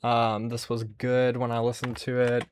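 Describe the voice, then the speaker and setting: A man's voice: medium pitch, completely flat delivery, measured pace, a little hiss or hum. A man hosting a casual, unscripted show-and-tell of his collection, rambling and musing aloud as he goes. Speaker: host showing a music cassette collection.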